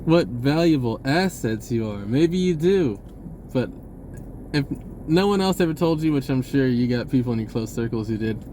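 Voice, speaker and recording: A man talking casually in a rambling monologue, halting and pausing mid-sentence as he goes. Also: mid-range voice, expressive, mellow, a little hiss or hum. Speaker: vlogger.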